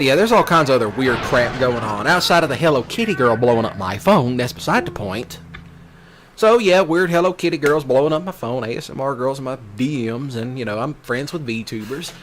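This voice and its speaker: A man with a Southern US accent, a streamer rambling casually in a folksy, brash way with rural slang, often repeating himself. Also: on the high side. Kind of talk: casual rambling rant over game play. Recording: noisy, with steady vehicle sounds behind.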